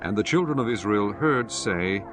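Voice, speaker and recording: Low-voiced male scripture narrator over music. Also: animated, normal speed.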